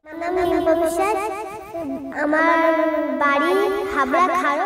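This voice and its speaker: Casting: young female played by a man, voice-changer filter, extremely high, plain delivery, music behind. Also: fairly even intonation, measured pace. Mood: neutral, plain. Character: young woman introducing herself, made by voice changer. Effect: high-pitched voice changer filter. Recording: echoey processed audio with music behind.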